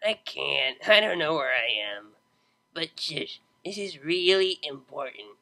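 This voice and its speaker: A woman voicing a drunk, laid-back young female unicorn DJ who drawls slow, slurred slang. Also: low voice, big pitch swings, creaky, bright, forceful tone, heavily acted.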